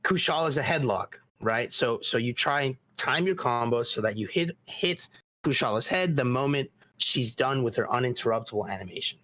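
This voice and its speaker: Brief: easygoing guy in his twenties, relaxed teasing podcast chatter. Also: mid-range voice, moderate pace. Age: 20s. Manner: laid-back, teasing, frequent "right?". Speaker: guest speedrunner. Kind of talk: casual gaming podcast chat.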